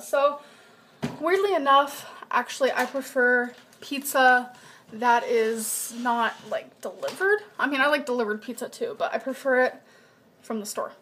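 A young woman showing her groceries in a casual home vlog, chatty and reading off nutrition numbers in a flat list, recorded on a webcam in her kitchen. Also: sits high, slight background noise. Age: young adult. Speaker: vlogger. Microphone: built-in webcam mic at desk distance.

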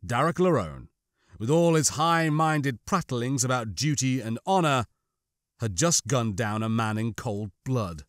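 A man's voice: mid-range voice, animated, unhurried, no echo. Man narrating a dramatic audiobook, dry sound.

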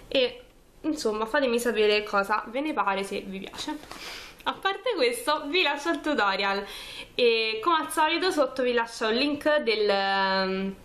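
Higher-voiced young woman chatting informally. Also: fairly bright tone. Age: 20s. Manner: informal, chatty, narrates actions step by step. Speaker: beauty vlogger. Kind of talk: casual makeup tutorial chat to camera. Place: small furnished room at home, indoors.

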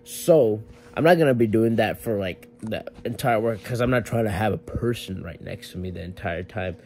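A man's voice: on the low side, moderate pace, a little mellow, soft background music. A young man vlogging casually about his workout, rambling and laid-back with lots of fillers and restarts. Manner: rambling, filler-heavy, self-correcting. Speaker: fitness vlogger. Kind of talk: casual vlog talk to camera.

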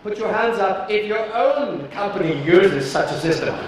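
A middle-aged British man giving a keynote talk on a large stage, deliberate and emphatic, with some fillers. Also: sits high, lively intonation, noisy background. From United Kingdom. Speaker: keynote speaker. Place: large dark stage before an audience. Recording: echoey hall from a distance.

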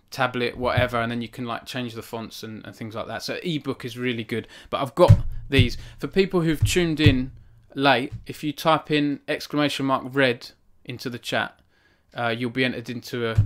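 A man's voice: mid-range voice, a bit bright. Man reading aloud and chatting to viewers. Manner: earnest and self-conscious, with frequent restarts and fillers when chatting. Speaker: author hosting a book launch stream. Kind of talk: reading aloud from his novel, then chatting with live viewers. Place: small home room. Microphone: studio microphone on a stand in front of him.

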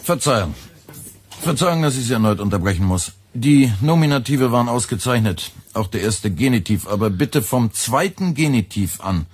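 A man playing a young radio editor in a radio play, formally polite, meticulous and quietly needling, with a husky voice. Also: mid-range voice, lively intonation. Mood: falsely apologetic. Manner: formal, meticulous, deliberately enunciated.

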